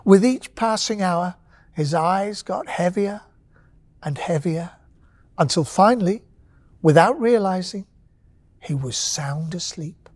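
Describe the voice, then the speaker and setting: A woman narrating a bedtime story with gentle, expressive pacing and dramatic pauses. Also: on the low side, no echo.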